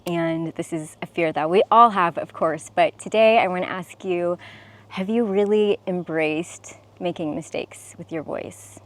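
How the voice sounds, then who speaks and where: Woman, young adult, slightly low pitch; sincere, encouraging to-camera coaching talk. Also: a little slow. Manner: earnest and encouraging, with restarts. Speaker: self-expression coach.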